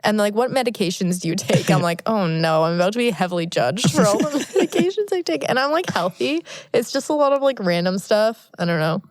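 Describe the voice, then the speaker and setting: A woman's voice: mid-range voice, fairly quick, hardly any pauses, no echo. A woman co-hosting a podcast in casual chat, a bit sniffly from allergies, telling stories animatedly with lots of "like". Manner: chatty, animated storytelling with lots of "like". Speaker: podcast co-host. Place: small podcast booth in a new office. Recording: dry, sound-dampened booth.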